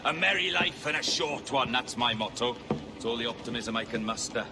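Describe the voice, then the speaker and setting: A sardonic pirate lord from a video game, acted by a man. His middle-pitched voice is theatrical and sly, with a lilting menace. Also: lively intonation, fairly quick, very noisy, natural voice. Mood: mocking, wry. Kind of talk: video game cutscene acting.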